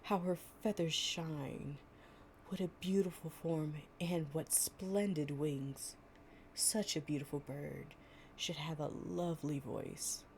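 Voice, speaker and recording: A woman plays a sly fox from a storybook fable. He flatters sweetly and smoothly, taking his time over each phrase. The voice sits low for a woman, with some background noise.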